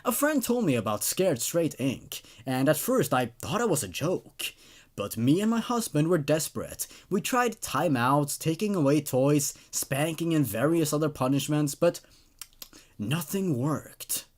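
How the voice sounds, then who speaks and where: Exasperated mother, read by a man, storybook infomercial testimonial, earnest and lively, pitch a little raised. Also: a little slow. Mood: exasperated, dramatic.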